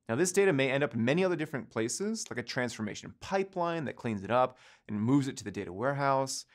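A man in his thirties presenting a scripted online course lecture, explaining each point while gesturing with both hands in a studio. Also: mid-range voice. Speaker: course instructor.

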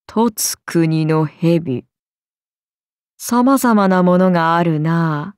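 An actress playing a haughty ancient serpent goddess in a video game, speaking in an imperious, archaic and languid way, amused and menacing. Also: female voice, lowish voice, drawn-out words, a little mellow, no echo, clean studio sound. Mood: languid, curious. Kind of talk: video game character voice lines.

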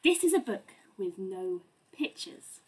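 A woman in her thirties reading a children's picture book aloud in a theatrical, expressive, deliberate way at home. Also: medium pitch, breathy edge, low-quality recording. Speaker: storyteller. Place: small home room lined with bookshelves.

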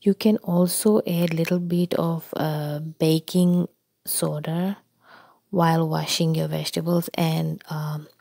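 A woman from India talks in a car as she drives to the shops, unhurried and soft-spoken, pausing often on ums and uhs. Her voice sits a little low and sounds gravelly and mellow. The sound is close and dry, with little room echo. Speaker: vlogger. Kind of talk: casual narration over driving footage. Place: inside a car.

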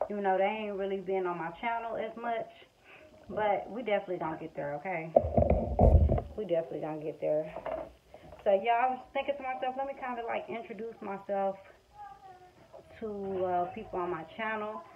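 A woman's voice: mid-range voice, a little fast. A woman in her twenties with an American accent, chatting casually and frankly off the cuff in colloquial speech. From Missouri, United States. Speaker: vlogger, stay-at-home mother. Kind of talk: casual off-the-cuff chat to camera. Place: small room at home, indoors. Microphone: phone at arm's length or propped nearby. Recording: dull lo-fi phone audio, faint background noise. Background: household background noise.

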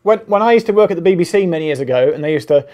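A man's voice: fairly high pitch, lively intonation, very fast, darkish tone. A man in his thirties chatting casually about tech on a podcast from a room at home. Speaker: podcast panellist. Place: small home room, video call.

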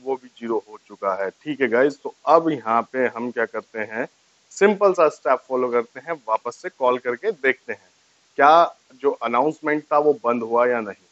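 A man's voice: mid-range voice, moderate pace, a bit bright, no room sound, muffled recording. A man gives a casual step-by-step tutorial to camera, explaining and repeating each step. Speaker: tech tutorial host. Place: small furnished room indoors, on a sofa. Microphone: lapel mic.

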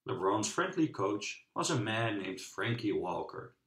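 A man reads a children's storybook aloud in a lively, swooping delivery. He phrases it in measured pieces with dramatic pauses. The room adds a noticeable echo. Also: on the low side. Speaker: storybook reader. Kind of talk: children's book read-aloud narration. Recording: roomy, echoing sound.

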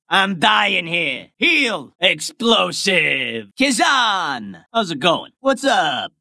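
A man voicing a brash, greedy, wisecracking male goblin in a video game, gravelly and sharp, like a fast-talking wise guy. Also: a little high, no room sound, clean studio sound, heavily acted. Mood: cocky, wisecracking. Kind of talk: video game character voice lines.

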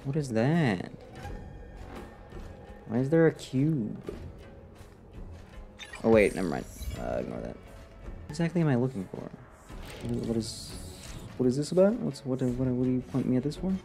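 Young guy streaming a game, dry deadpan sarcasm, relaxed voice, game music behind him. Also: medium pitch, moderate pace, vocal fry, pauses often. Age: young adult. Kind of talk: casual playthrough commentary. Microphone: desk microphone close to the face.

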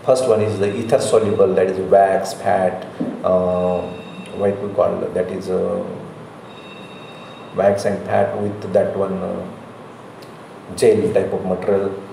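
A middle-aged man with an Indian accent giving a slide lecture as a college professor, speaking in halting, repetitive phrases. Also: low voice, flat delivery, gravelly, mellow. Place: indoor room, recorded lecture. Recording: very echoey room, faint noise.

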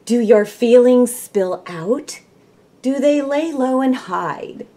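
Casting: middle-aged woman, American Midwestern accent, storybook reader with unhurried pace and animated intonation. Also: a little high. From Michigan, United States. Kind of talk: children's read-aloud storytelling. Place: small room at home, indoors.

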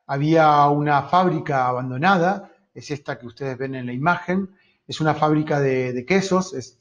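A middle-aged man with an Argentine accent from Rosario gives an online architecture lecture in a halting, reflective way with long pauses. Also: fairly high pitch. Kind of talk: online lecture on own work. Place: small book-lined room indoors. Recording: dull, lo-fi web-call audio.

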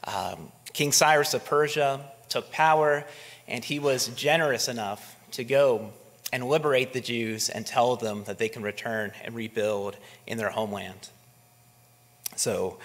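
A man in his thirties preaches at a pulpit microphone. His pitch sits in the middle range for a man. He talks to the congregation conversationally and poses rhetorical questions, and his voice carries a long reverb through the church hall. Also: a bit bright. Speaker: pastor. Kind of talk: sermon. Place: church sanctuary, at a wooden pulpit.

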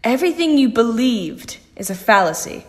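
A woman playing a composed phantom in a sci-fi audio drama, reasoning in a measured, pointed and persuasive way. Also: on the high side, sing-song, a little hiss or hum. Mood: forceful.

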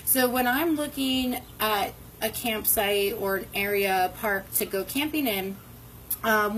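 A woman's voice: medium pitch, fairly bright tone, low-quality recording. Woman, 30s, workshop host, casual instructional chat with ums, phone mic outdoors, faint ambient noise. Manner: conversational, frequent ums and restarts. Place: covered porch outdoors by a brick wall. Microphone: phone camera at arm's length.

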